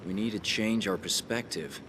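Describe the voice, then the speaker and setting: Determined resistance commander played by a man, low and matter-of-fact, game cutscene, heavy vehicle noise. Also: moderate pace, close to an everyday voice. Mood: firm, explaining. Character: calm, determined male resistance soldier leader. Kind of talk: video game cutscene acting.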